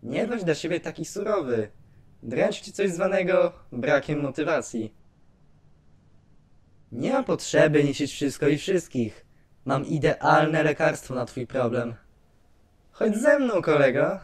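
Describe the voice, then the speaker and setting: A man playing an excitable, chatty skeleton artist guardian who is prone to brooding, in an animated fan dub with expressive delivery. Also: a little high, a little slow. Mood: friendly, encouraging.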